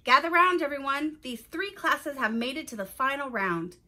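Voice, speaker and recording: A woman's voice: high voice, expressive, moderate pace, sharp tone, voice pushed up, exaggerated voice. A woman voicing an authoritative school principal making formal announcements in a children's storybook. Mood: commanding.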